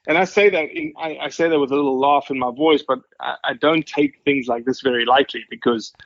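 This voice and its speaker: A man's voice: medium pitch, a little fast, no room sound, muffled recording. A South African man in his forties talking casually as a podcast guest, humble and dryly joking. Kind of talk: casual interview storytelling.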